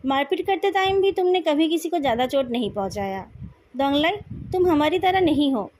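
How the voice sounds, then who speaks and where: An Indian-accented woman voicing a young male company president in a novel, calm, measured and coolly taunting. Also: high-pitched, a little hiss or hum. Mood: calm, frank.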